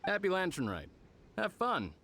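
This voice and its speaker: A man's voice: high voice, huge pitch swings, normal speed, background noise, heavily acted. A man playing a kindly, patient craftsman in a video game, explaining things courteously. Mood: friendly. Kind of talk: video game dub acting.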